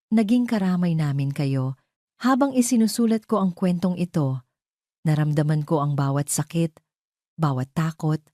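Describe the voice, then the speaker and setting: A woman's voice: low-pitched, dark tone, no room sound, clean studio sound. A woman narrating a scripted dramatic story as a storyteller.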